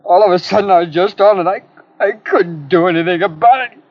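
Scared stammering kid crook, old radio play, high voice, muffled sound. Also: male voice, a little fast, no echo. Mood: pleading. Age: 20s. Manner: stammering, pleading. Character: frightened young male robber caught by police. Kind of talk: 1950s radio drama acting.

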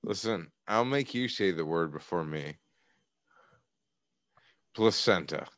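A man chatting casually on a podcast, joking deadpan and swearing freely. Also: deep voice, slow pace, no room sound. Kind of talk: casual chat.

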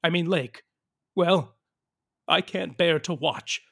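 An actor playing a vain, fretful narrator who delivers melodramatic, campy asides in a comedic audio drama. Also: male voice, a little high, takes it slowly, creaky, a bit bright, breathy edge, heavily acted. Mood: mock-anguished. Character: theatrical, vain, fretful male storyteller narrator.

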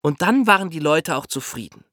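Male podcast explainer, bright tone, very lively intonation, colloquial storytelling style. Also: fairly high pitch, brisk, no room sound, studio quality. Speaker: podcast host explaining history.

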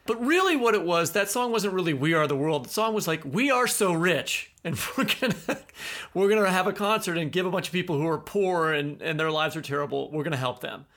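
A man's voice: on the high side, a bit bright. A man from the United States co-hosting a podcast, rambling casually as he thinks aloud. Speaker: podcast co-host. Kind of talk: casual interview discussion.

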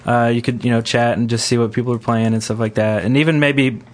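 Male podcast host, average pitch, informal conversational read for gaming chat. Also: flat delivery, fast.

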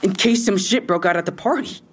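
An actor playing a defensive young robbery suspect in an audio drama, with husky, slangy, evasive talk and tough posturing over fear. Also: male voice, high-pitched, brisk. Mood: guarded. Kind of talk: naturalistic audio drama acting.

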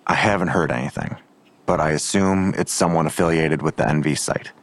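A man's voice: very deep voice, a little fast. An actor playing a blunt, world-weary father in an audio drama, dry and clipped in delivery. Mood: guarded.

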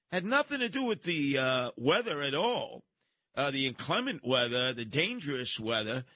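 A man with a forceful, bright New York voice, recorded close and dry. He hosts a live radio call-in show, rambling along with theatrical emphasis. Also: mid-range voice, no room sound. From New York City. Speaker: radio talk show host.

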